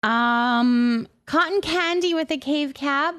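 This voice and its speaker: A woman with a fairly high voice hosts a livestream in a casual, chatty style. She speaks informally to her viewers, sometimes stretching out words. The sound is dry, with very little room echo. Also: slow, a bit bright. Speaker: livestream host. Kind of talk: casual livestream chat, greeting viewers and reading comments aloud.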